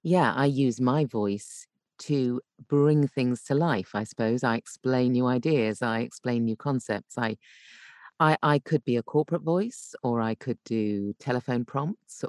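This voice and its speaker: A woman's voice: extremely low pitch, darkish tone, no echo. A woman guest chats in a conversational interview style, often correcting herself mid-thought. Her voice is recorded very dry, with almost no room sound.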